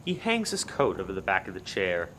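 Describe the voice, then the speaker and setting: A man's voice: low voice, wildly swooping pitch, a little fast, sharp tone, a little hiss or hum, poor audio. A man reading dramatic scripted narration for a comic radio play.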